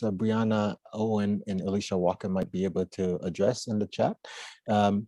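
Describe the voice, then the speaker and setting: A man moderates a discussion in a fairly low voice with heavy vocal fry. He speaks off the cuff, halting and searching for words, with little room sound. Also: mellow, no room sound. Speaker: roundtable moderator. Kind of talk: unscripted moderating.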